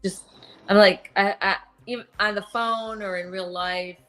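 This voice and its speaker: A woman with a deep, stern voice, chatting bluntly on a livestream. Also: steady tone, moderate pace, fairly bright tone, poor audio. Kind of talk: casual chat on a livestream.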